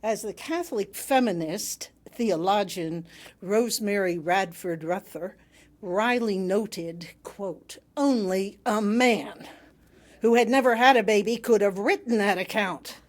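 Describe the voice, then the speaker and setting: A woman reading a sermon aloud to a congregation in a measured, emphatic way with wry humour. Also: mid-range voice. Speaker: reader delivering another minister's sermon.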